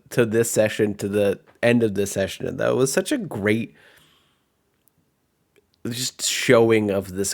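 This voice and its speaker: A man working as game master, narrating and commenting on tabletop roleplay in an informal, self-reflective way. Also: mid-range voice.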